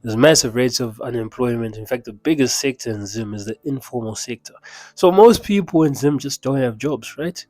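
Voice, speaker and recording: A man's voice: medium pitch, normal speed, darkish tone, no room sound. A young man with a Zimbabwean accent, giving an emphatic, conversational motivational talk with chatty asides. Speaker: mindset coach. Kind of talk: casual motivational talk to camera.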